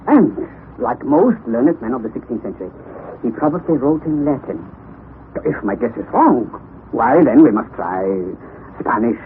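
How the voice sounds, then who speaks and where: Impetuous scholarly professor, lively and bright-pitched, on a hissy, phone-thin 1960s radio recording. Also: male voice. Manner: excitable, scholarly, exclamatory. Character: eccentric, impetuous middle-aged male professor. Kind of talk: 1960s radio drama acting. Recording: tinny lo-fi vintage radio broadcast with hiss.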